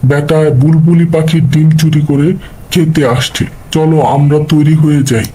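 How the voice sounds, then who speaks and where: A man's voice: fairly high pitch, flat delivery, moderate pace, mellow, pitched below the performer's own voice, close to an everyday voice, low-quality recording. A man playing a clever, resolute crow who leads the birds in an animal fable cartoon, talking earnestly and explaining things. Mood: watchful.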